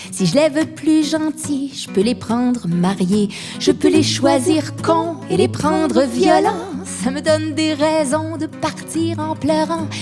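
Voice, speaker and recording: A woman singing a French pop song. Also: high-pitched. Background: song backing music.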